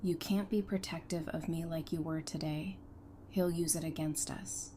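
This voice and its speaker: Woman acting a defiant survivor gal, kinda low voice, blunt n intense, audio drama, bit of noise behind. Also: steady tone, normal speed, dark tone, lightly acted. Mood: tense, bitter. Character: defiant, sharp-tongued woman survivor, protective of her lover. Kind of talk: full-cast fan audio drama acting. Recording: clear background noise.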